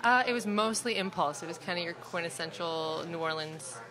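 A woman in her thirties from Chicago, a photographer guest on a live podcast, talking in a relaxed, chatty way. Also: lowish voice, fairly quick. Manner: relaxed, chatty, laughs easily. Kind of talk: casual interview. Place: busy restaurant. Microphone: broadcast mic on stand. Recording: crowd chatter behind. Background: restaurant crowd chatter.

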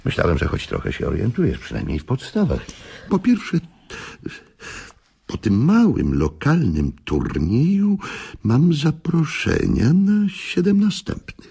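An actor playing a fussy, excitable old-school chess coach and manager in a radio drama, speaking emphatically and repeating himself. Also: male voice, a little high, measured pace, creaky, breathy edge, full character voice. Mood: excited, lecturing.

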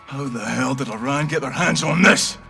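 An actor playing a blunt, questioning young special forces sergeant with a Scottish accent in a military game. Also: male voice, mid-range voice, moderate pace, creaky, lightly acted. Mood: tense, incredulous. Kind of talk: cinematic military game acting. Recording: music behind dialogue.

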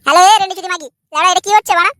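A man's voice: very high-pitched, very fast, sharp tone, no room sound. A man voicing a jealous, sneering husband in a comic cartoon, foul-mouthed and sarcastic. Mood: outraged. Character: jealous, crude, sneering adult son and husband.

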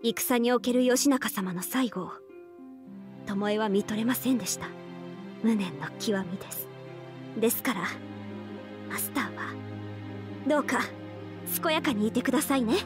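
A woman acts as a gentle young samurai archer from a mobile game. Her voice is soft and fairly high, with formal, reverent phrasing and devoted politeness. Clear music plays underneath. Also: normal speed, long pauses, lightly acted. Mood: wistful, grave. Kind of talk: Japanese mobile game voice lines. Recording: studio voice over game music.

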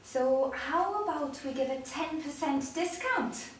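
A woman's voice: high-pitched, animated, unhurried, very echoey, recorded from a distance, slight background noise. A young woman acting a shifty female fake psychic who wheedles and bargains. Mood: wheedling. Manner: wheedling, pleading. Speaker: drama student actor.

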